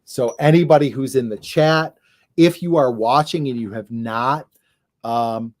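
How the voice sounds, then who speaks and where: A man's voice: medium pitch, a little slow. Chatty, jokey male co-host. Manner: chatty, jokey, trails off and restarts. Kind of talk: casual livestream chat.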